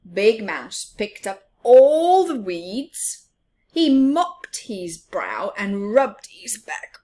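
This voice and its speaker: A woman's voice: medium pitch, very animated, very slow, dark tone. A woman hosting storytime, reading aloud expressively to young children and adding chatty asides. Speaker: storytime host.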